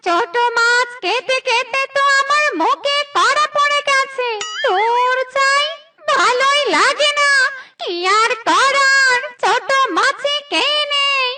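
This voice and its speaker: Tiny cartoon animal thief, extremely high, slow, whiny, greedy, sneaky. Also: female voice, pushed and bright, exaggerated voice. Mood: whiny, discontented. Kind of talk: cartoon voice acting.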